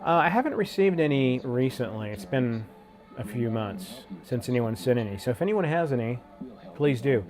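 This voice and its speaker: A stuffed-up man with a cold, a little sniffly, chatting loosely on his live stream. He greets viewers one by one, tossing in dude and man, in a voice of ordinary middle pitch. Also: lively intonation, moderate pace. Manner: laid-back, slangy, says dude and man a lot.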